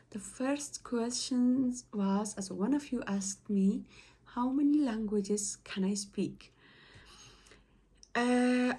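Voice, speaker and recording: Slow, hesitant woman with a Persian accent. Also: medium pitch, dark tone. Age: young adult. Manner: hesitant, halting, many fillers and self-corrections. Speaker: study vlogger. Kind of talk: casual talk to camera.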